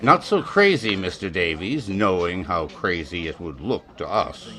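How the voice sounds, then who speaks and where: Man as hard western major, low forceful voice, clipped, authoritative, cold, radio drama. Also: expressive, unhurried, exaggerated voice. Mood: cold, commanding. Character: cold, hard, commanding major leading a posse. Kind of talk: old-time western radio drama acting.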